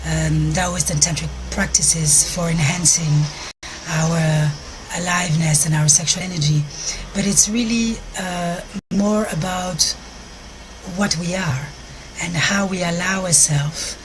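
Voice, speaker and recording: A Belgian woman speaking English with a French accent, hosting a live stream outdoors in a park and pausing often to find her words. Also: lowish voice, a little hiss or hum, rough recording. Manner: searches for words, frequent restarts, soft gentle delivery. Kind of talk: live-stream talk to viewers.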